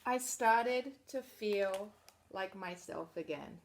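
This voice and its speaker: A woman reading a picture book aloud to children in an expressive read-aloud manner, dropping to soft, chatty asides about the pictures. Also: medium pitch, slow, a little hiss or hum. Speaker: storybook reader.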